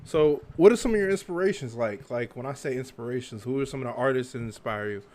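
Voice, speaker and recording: A man from Texas hosting a casual interview, relaxed and full of slang. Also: medium pitch, quick pace, crowd noise. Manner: laid-back slang, street talk. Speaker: podcast host.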